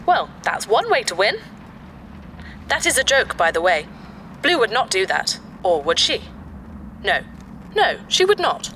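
A woman's voice: medium pitch, sing-song, a little slow, long pauses, a bit bright, a little hiss or hum. A woman playing a childlike, mischievous sentient spaceship computer in a sci-fi audio drama, sing-song, slipping into clipped computer announcements. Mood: playfully ominous. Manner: speaks of herself in third person, sing-song, switching to clipped computer announcements. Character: childlike, mischievous female ship computer that has become sentient.

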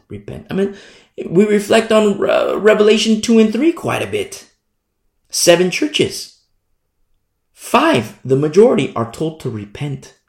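A man preaching a sermon in an animated, repetitive style, acting out the people he criticizes. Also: sits high. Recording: echoey room sound.

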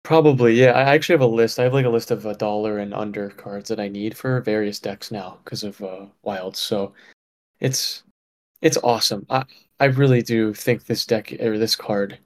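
A man co-hosting a podcast, talking casually with many fillers, often dropping quieter at the ends of his thoughts. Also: fairly low pitch, normal speed. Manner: relaxed, lots of fillers, trails off quietly. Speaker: podcast co-host.

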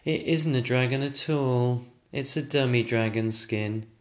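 A man voicing an eager young princess in a bright, lightly raised voice. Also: mid-range voice, unhurried, pitched above the performer's own voice, lightly acted. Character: eager young princess who draws with magic crayons. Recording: roomy echo.

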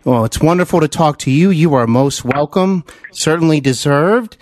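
A man hosting an interview podcast, with deliberate, emphatic announcer-like phrasing. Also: a little high, dry recording. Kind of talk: scripted introduction and interview hosting.

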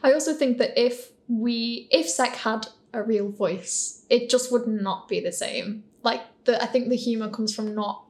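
A woman's voice: fairly high pitch, unhurried, hardly any pauses, a little mellow. A woman giving thoughtful explanations in a casual podcast panel discussion.

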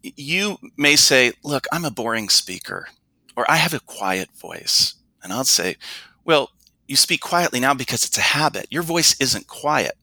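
A man working as a speaking coach, answering interview questions in an explanatory way and slowing down to stress his points. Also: mid-range voice, big pitch swings, bright, forceful tone, no echo.

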